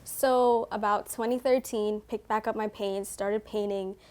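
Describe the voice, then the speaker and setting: A woman's voice: medium pitch, fairly even intonation, measured pace, a little mellow. A young woman from Trinidad, a painter chatting in an interview with many ums and likes. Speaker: painter, guest. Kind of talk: casual interview answers.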